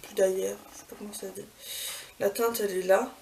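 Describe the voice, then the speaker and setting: A woman's voice: medium pitch, steady tone, brisk, dark tone. A woman vlogging a casual beauty haul, chatty, with many fillers and self-corrections. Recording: far from the mic, clear background noise.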